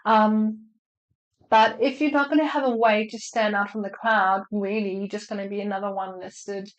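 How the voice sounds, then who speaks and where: A woman's voice: medium pitch, fairly even intonation, moderate pace, dull audio. A woman with a flu answering marketing questions in a casual, chatty way on a video call, with many fillers and restarts. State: slightly unwell with a flu.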